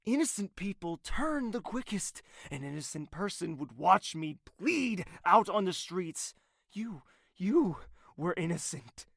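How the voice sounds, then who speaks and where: Fantasy audio drama: defiant, embittered prince played by a man, creaky and unhurried, sardonic, hushed one moment and explosive the next. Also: high-pitched, dry recording, clean studio sound, heavily acted. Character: embittered crown prince, proud self-confessed villain, wounded and defiant.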